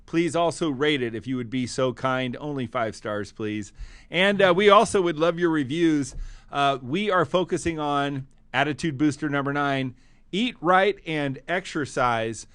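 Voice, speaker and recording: A man's voice: on the high side, expressive, measured pace, fairly bright tone, clear, resonant tone, no room sound. A man hosting a motivational podcast, with an enthusiastic, punchy delivery. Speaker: podcast host.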